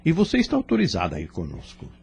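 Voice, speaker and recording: A Brazilian man playing a calm, kindly spirit instructor with patient authority and a husky voice in an audio drama. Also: a little high, quick pace, heavily acted. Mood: warm, pleased.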